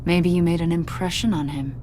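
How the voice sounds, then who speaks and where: An actress playing a cool, probing government agent in a video game, composed and insinuating. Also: female voice, lowish voice, steady tone, a little fast, darkish tone, a little hiss or hum, natural voice. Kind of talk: naturalistic video game acting.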